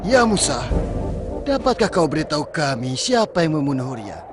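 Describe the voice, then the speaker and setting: A scheming, mocking leader of a scoffing tribe, voiced by a man in a cartoon retelling. He commands his people like an orator, his voice riding a little high and swooping wide. Loud music runs underneath. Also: sing-song, moderate pace. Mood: taunting. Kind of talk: animated cartoon dubbing.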